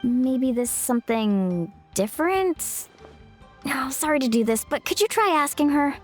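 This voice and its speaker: An expressive, upbeat young woman from a video game, speaking. Her voice sits high and swings in melody as she fusses over her friends in a caring way. Faint music plays behind her. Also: a little slow. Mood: friendly, caring. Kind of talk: English video game voice acting.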